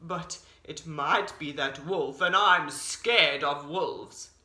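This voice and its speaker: A woman as a slow, lowered-voice hungry bear. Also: pushed and bright, exaggerated voice. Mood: nervous. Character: hungry male bear, gruffly apologetic, secretly scared. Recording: roomy echo.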